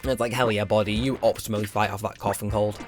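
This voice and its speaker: A young man with a slightly hoarse, strained throat, rambling casually with lots of fillers while commenting on a game. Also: on the low side, steady tone, quick pace. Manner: rambling, filler-heavy, self-mocking. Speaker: let's play host. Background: game music.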